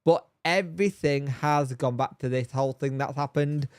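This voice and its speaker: A man's voice: medium pitch, normal speed, a little mellow, smooth, no echo, studio quality. A man with a blocked, nasal and throaty voice, rambling casually through a live stream and answering chat by name. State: congested, bunged up. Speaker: fan channel host reading live chat.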